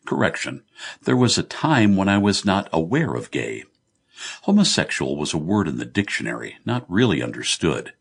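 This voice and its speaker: A man's voice: low voice, slightly rough, full character voice. A man voicing a good-humoured, blunt middle-aged Irish businessman in an audiobook, breezy, teasing and confiding.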